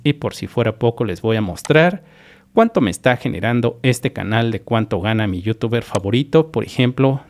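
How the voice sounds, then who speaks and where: A man's voice: on the low side, creaky. A man from Mexico hosting his own channel, methodically explaining earnings over a screen recording and speaking straight to his viewers. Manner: repetitive, methodical, addresses viewers directly. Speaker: YouTube channel host.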